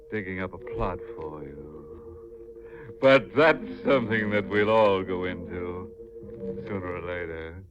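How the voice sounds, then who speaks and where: Slow, low-voiced ghoulish radio horror host. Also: male voice. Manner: theatrical, drawn-out, mock-courteous. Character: ghoulish male horror host, slyly jovial, macabre punster. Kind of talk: 1950s radio mystery hosting and narration. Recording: muffled 1950s radio recording with music.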